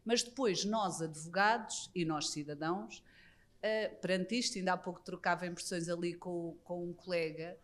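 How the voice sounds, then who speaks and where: A woman's voice: fairly low pitch, fairly even intonation, normal speed. A Portuguese woman with a European Portuguese accent, an immigration lawyer speaking on a conference panel. She talks earnestly and critically, often restarting her phrases. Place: embassy conference room.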